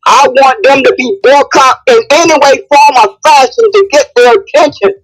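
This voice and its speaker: A woman's voice: high voice, expressive, normal speed, poor audio. A woman calling in to a live discussion, speaking emphatically and repeating phrases for force. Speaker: caller in a live audio discussion. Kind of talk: impassioned spoken opinion.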